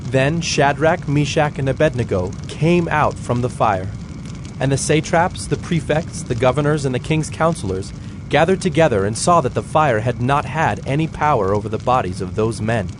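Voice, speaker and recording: A man narrating scripture from a script in a measured, solemn manner. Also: medium pitch, expressive, noisy background.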